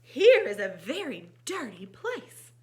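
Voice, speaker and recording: A woman's voice: high voice, wildly swooping pitch, slow pace, full character voice. A woman voicing a small, fussy, mud-loving young pig from a children's storybook, with emphatic, exclamatory delivery. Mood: searching.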